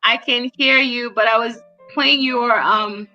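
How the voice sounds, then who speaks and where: A woman's voice: a little high, steady tone, measured pace, sharp tone, highs cut off, soft background music. A woman hosting a casual live interview, talkative and informal, addressing her guest and viewers directly. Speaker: host.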